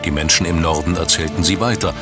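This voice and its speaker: Male documentary narrator, very deep, measured and dramatic, music bed underneath. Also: fairly even intonation. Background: background music.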